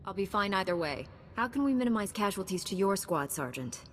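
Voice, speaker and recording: A woman's voice: medium pitch, expressive, a little fast, close to an everyday voice. A woman playing a composed, resolute knight heroine in a sci-fi video game, speaking directly. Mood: calm. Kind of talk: video game cutscene acting. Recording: long reverb, faint background noise.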